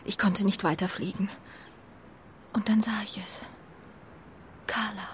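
An actress playing a proud but shaken young homing pigeon in a children's radio play, earnest and hesitant, often dropping into a hush. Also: female voice, mid-range voice, steady tone, unhurried, a little hiss or hum, natural voice. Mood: frightened, grieving.

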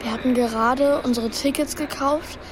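A woman's voice: high voice, steady tone, moderate pace, background noise, natural voice. A woman playing a young boy who misses his brother, earnest and heartfelt, narrating and acting in a children's radio play. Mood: earnest storytelling.

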